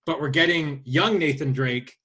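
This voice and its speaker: A man co-hosting a casual podcast, speaking in a halting, hesitant way. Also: fairly high pitch, sharp tone. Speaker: podcast co-host.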